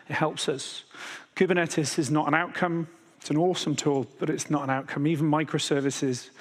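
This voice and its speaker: British man in his fifties, keynote speaker, measured delivery with many fillers, slightly high voice. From Britain. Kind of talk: conference keynote talk. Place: large conference hall. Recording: hall reverb.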